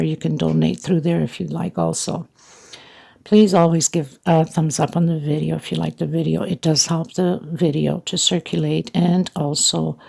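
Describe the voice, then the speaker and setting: A woman's voice: low voice, creaky, darkish tone. A woman hosting a craft tutorial, talking to viewers in a casual, chatty and informal way. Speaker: host of crochet tutorials. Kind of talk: casual spoken tutorial and channel announcements.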